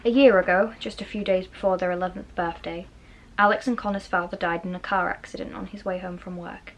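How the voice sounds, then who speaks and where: A woman's voice: on the low side, fairly even intonation, a little fast. A woman reading a children's novel aloud as a storybook narrator. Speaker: storybook reader. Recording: noisy, rain in the background. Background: rain.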